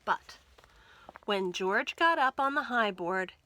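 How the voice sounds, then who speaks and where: Slow, bright-voiced woman reading to children. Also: mid-range voice. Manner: slow, careful, expressive delivery for young children. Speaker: children's librarian and storyteller. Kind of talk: animated picture-book read-aloud for children. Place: small indoor room lined with bookshelves.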